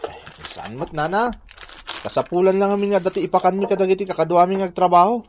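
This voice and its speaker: A man's voice: high voice, fairly even intonation, fast talker, a little hiss or hum, close to an everyday voice. An actor playing a hard-up, plain-spoken village working man in an Ilocano radio drama, exclamatory and colloquial. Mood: pleading.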